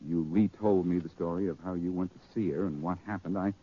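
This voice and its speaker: An actor playing a breezy, wisecracking amateur detective with a cocky, bantering manner in 1940s radio style. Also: male voice, low voice, steady tone, a little fast, a little hiss or hum, close to an everyday voice. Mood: sly, assured. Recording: dull 1940s radio recording.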